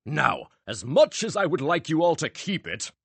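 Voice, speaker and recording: A man's voice: a little high, big pitch swings, creaky, husky, no room sound, voice pushed up, exaggerated voice. A man putting on a grand, smug stage magician. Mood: showy. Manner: grand, drawn-out showman delivery. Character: showy male stage magician, theatrical and smug.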